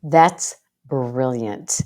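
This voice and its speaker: A woman's voice: rumbling low, drawn-out words, dark tone. A middle-aged American woman hosting a podcast, chatting casually in an emphatic, folksy way. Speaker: podcast host. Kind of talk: casual conversational interview.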